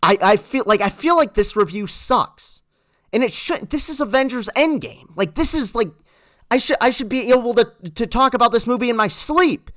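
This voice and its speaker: A man reviewing a movie in casual, earnest talk, halting and repeating words as he goes. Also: very high voice, lively intonation, a bit bright, no echo, clean studio sound. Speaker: film reviewer.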